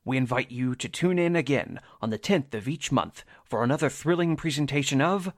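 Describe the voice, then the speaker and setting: Male radio drama announcer, mid-pitched. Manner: formal, measured announcer delivery. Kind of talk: scripted closing announcement.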